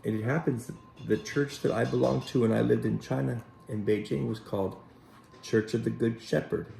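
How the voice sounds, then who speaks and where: A man reading a Bible story aloud to children in a gentle, unhurried way, pausing to address them directly as a Sunday school teacher. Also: mid-range voice, fairly even intonation, vocal fry, a little hiss or hum, low-quality recording.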